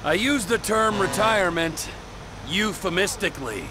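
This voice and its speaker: A menacing middle-aged outlaw trucker in a video game. His fairly high voice swoops with bitter sarcasm and drawn-out profanity over clear vehicle noise. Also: animated. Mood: evasive.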